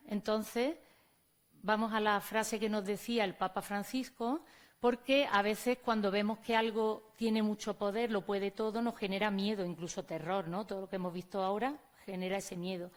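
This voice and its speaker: A woman from Córdoba with an Andalusian accent, a teacher giving a conference talk and speaking to the audience in a conversational way. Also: mid-range voice. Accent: Andalusian Spanish. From Córdoba, Spain. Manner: conversational, addresses audience directly. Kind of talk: conference presentation. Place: conference venue on stage.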